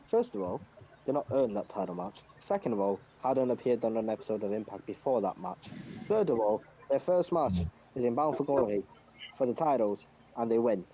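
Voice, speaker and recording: A man's voice: medium pitch, animated, brisk, dark tone. A man giving casual sports opinions as a podcast panelist, making his points with emphasis. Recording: muffled, noisy remote microphone.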